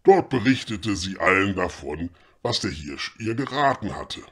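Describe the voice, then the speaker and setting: A man's voice: low-pitched, big pitch swings, unhurried. A man narrating a comedy audio drama in the style of a storybook. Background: clear music.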